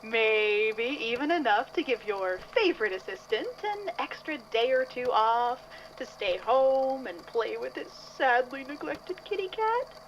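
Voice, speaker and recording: A woman plays a playful, cheeky archive assistant in an audio drama. The voice is high and unhurried, drawing out each tease in a sing-song way. The sound is slightly dull. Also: very animated, slight background noise. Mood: teasing.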